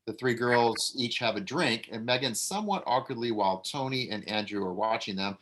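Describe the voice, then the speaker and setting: A man reading stage directions aloud at a table read. He talks at an easy middle pitch and stops now and then to give notes. His delivery is relaxed and unpolished. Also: fairly quick. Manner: relaxed, casual, with fillers and self-corrections.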